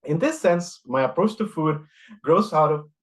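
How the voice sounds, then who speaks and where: A middle-aged man from Costa Rica giving an academic lecture, reading from notes in a measured way with frequent "uh" fillers. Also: on the high side, expressive. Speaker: guest lecturer.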